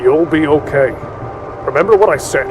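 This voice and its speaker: Male actor as loyal fantasy conspirator, gruff, slightly high register, loud music underneath. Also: moderate pace, lightly acted, poor audio. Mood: reassuring. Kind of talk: dark fantasy audio drama acting.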